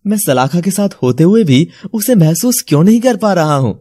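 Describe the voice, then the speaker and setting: A man playing a lovestruck, puzzled young bridegroom, earnest and dramatic, in an animated fairy tale. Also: high-pitched, moderate pace, slight background noise. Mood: wistful.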